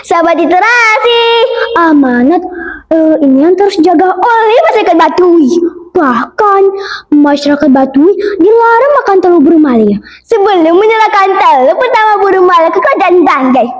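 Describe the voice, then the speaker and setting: Very high-voiced schoolgirl telling a folktale. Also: animated, moderate pace. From Central Sulawesi, Indonesia. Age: child, primary-school age. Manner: declamatory, emphatic. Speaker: school storytelling contestant. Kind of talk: rehearsed dramatic folktale narration. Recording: roomy, echoing sound.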